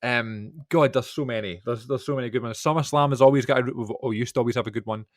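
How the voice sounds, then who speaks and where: A man chats on a podcast in an enthusiastic, rambling way, full of ums and restarts. His pitch sits in a middling range. The recording is dry and close. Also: steady tone, quick pace, no echo. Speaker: podcast co-host. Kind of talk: casual chat.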